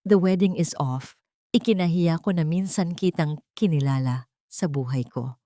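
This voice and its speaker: A woman's voice: deep voice, moderate pace, warm tone, no echo, studio quality, lightly acted. Woman narrator as a cold, contemptuous young man. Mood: cold contempt. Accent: Filipino. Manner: contemptuous, switches into English. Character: cold, heartless young man rejecting his lover.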